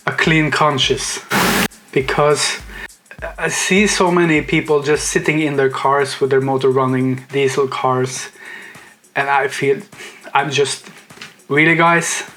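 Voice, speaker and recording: A man's voice: fairly high pitch, a bit bright. A man, talking eagerly in a rambling casual vlog, often correcting himself. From Norway. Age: 40s. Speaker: car vlogger.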